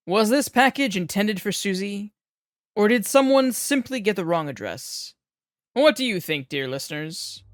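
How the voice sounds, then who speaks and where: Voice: medium pitch, a bit bright. A performer playing a mysterious late-night paranormal radio show host, speaking in a theatrical, suspenseful way.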